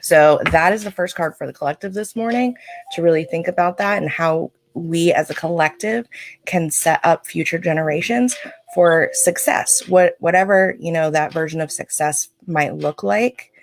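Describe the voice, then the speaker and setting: A woman hosting a livestream, reading oracle cards and chatting to viewers in a casual, reflective way, her throat scratchy and needing clearing. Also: on the low side, normal speed.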